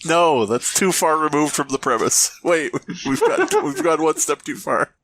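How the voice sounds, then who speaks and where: A man co-hosting a podcast, reading fan fiction mock-dramatically with casual, expressive banter and frequent riffing asides. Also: on the high side, unhurried, noisy background. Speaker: podcast co-host.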